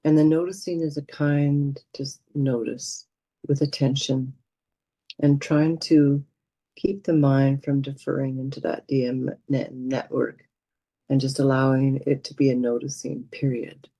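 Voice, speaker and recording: A woman leading a guided meditation as a teacher, speaking in a gentle, soothing manner with unhurried pauses. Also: a bass voice, flat delivery, dry recording.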